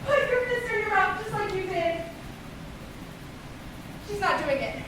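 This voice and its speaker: Woman acting excitable high-pitched mother, echoey stage. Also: a little slow. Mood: excited, coaxing. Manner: emphatic, insistent, theatrical. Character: doting, excitable, easily exasperated mother in a comic play. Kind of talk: projected amateur stage comedy acting. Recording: echoey hall, distant mic, noisy.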